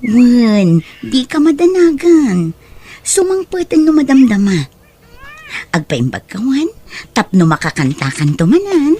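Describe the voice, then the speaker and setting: A woman playing a scheming, money-minded aunt in an Ilocano audio drama, with an expressive theatrical delivery. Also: on the high side, a little slow, pauses often, slightly rough, full character voice. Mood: alarmed, then soothing. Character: scheming, money-minded aunt feigning care for her nephew. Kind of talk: Ilocano radio drama acting.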